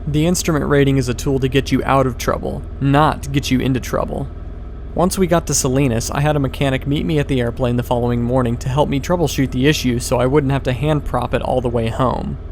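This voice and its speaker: A man's voice: mid-range voice, fairly even intonation, fairly quick, darkish tone, slight background noise. A man reading a scripted voice-over as a pilot and video host.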